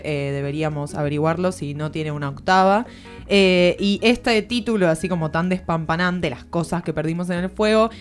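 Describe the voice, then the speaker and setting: A woman with a Buenos Aires area Argentine accent giving a conversational book commentary at a studio microphone, reflective and informal, searching for words as she explains. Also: lowish voice. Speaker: literary columnist on a radio show. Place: radio studio.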